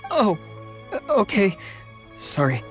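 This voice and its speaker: A man playing a timid, awkward, meticulous civil servant in a game, speaking haltingly and stumbling over his words. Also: high voice, wildly swooping pitch, a bit bright, faint music behind, exaggerated voice. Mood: flustered, apologetic. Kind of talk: game voice acting.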